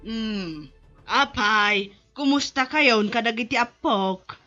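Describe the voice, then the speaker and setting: A woman's voice: on the high side, slow, sharp tone. A woman playing a sympathetic, concerned older mother and grandmother in an amateur audio drama. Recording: dull lo-fi audio over music. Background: music.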